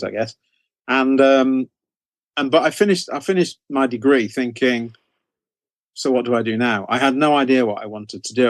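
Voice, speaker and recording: A man's voice: mid-range voice, dry recording. A middle-aged British man telling stories about himself as an interview guest, in a casual, modest, rambling conversational way. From United Kingdom.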